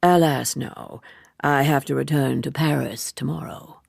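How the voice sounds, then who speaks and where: A woman's voice: a bass voice, steady tone, unhurried, creaky, a little mellow, breathy edge, dry recording, clean studio sound, voice pushed down, exaggerated voice. A woman reading an audiobook, voicing a secretive male lover who is wry, terse and guarded. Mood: preoccupied, regretful. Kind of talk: audiobook character dialogue.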